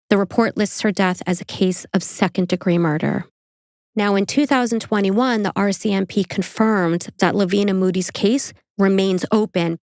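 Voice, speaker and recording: A woman's voice: mid-range voice, darkish tone, no echo, clean studio sound. A woman with a New York accent hosting a true crime podcast, reading the case and breaking off for casual, joking asides.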